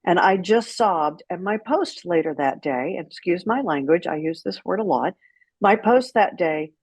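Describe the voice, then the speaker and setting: A middle-aged American woman telling candid personal stories as an interview guest, frank and unfiltered, with dramatic pauses for emphasis. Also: on the low side, animated, a little mellow, breathy edge, no echo. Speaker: guest, writer and former radio personality.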